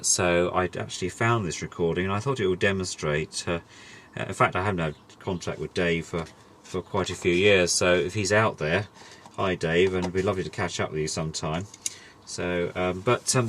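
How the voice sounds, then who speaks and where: A man's voice: deep voice, fairly bright tone, a little hiss or hum. A middle-aged man chatting casually through a hobby demonstration, rambling and hesitant as he goes. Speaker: host demonstrating a vintage tape recorder.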